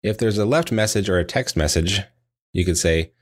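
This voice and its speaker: Man, deep low voice, scripted lesson narration, measured explanatory delivery, practice trainer.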